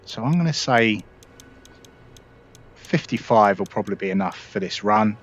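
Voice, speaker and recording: A man hosting a casual gameplay tutorial, relaxed and explanatory, talking viewers through each step. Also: lowish voice, moderate pace, muffled recording. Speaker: gaming video host.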